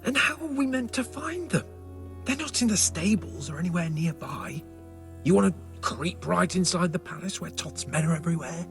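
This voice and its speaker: An actor playing a grumbling, sarcastic nobleman on a raid in a medieval video game, exasperated and quick to swear. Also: high voice, animated, vocal fry, fairly bright tone, soft background music, full character voice. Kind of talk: dramatic video game cutscene acting.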